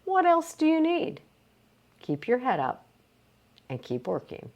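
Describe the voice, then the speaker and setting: A woman reading a motivational self-help audiobook aloud in a deliberate, emphatic, coaching way. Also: high voice, wildly swooping pitch, darkish tone. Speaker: audiobook reader.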